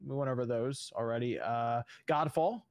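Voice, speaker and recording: A man's voice: mid-range voice, moderate pace, a little mellow, no echo, clean studio sound. A man hosting a casual gaming podcast, talking loosely with many fillers and chuckles. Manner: rambling, fillers, chuckles mid-sentence. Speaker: podcast host.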